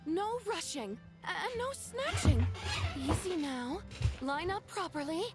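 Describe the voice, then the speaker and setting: A woman voicing a lively, fond townsperson minding children in a video game, in a singsong, unhurried manner. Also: very high voice, heavily acted. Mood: excited. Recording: music behind the voice.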